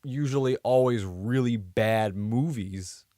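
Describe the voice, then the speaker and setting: A man's voice: medium pitch, slow, warm tone, no echo, clean studio sound. A man co-hosting a podcast, chatting casually and thinking aloud with plenty of hedging. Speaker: podcast co-host. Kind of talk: casual chat.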